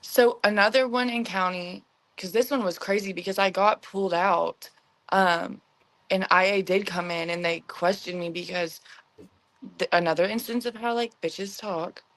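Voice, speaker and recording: A young woman as an interview guest, telling stories in a candid, slangy, halting way with frequent "like" and acted-out dialogue. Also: medium pitch, fairly even intonation, a bit bright, low-quality recording. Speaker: interview guest, former inmate. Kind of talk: candid conversational storytelling.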